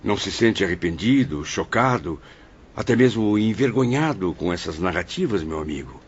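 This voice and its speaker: A man's voice: low-pitched, expressive, moderate pace, slightly rough, dull audio. A man playing an inquisitive, courteous spirit apprentice in an audio drama, asking formal questions. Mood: curious, concerned.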